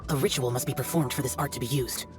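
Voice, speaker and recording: A man's voice: medium pitch, quick pace, music in the background, natural voice. A man playing a stern, aloof young demon-slaying immortal in a video game, speaking curtly and formally. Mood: solemn, instructive. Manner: curt, formal, archaic phrasing. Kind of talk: video game character acting.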